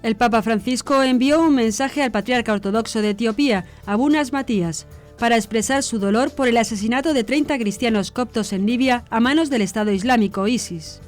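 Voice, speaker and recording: A woman presents scripted news in a formal, measured manner. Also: on the high side. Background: background music.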